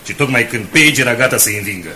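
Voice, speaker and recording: Loyal sports captain, medium pitch, straightforward, polite, radio drama, noisy recording. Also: male voice, lively intonation, sharp tone. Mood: indignant, respectful. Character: loyal, plain-spoken rugby team captain.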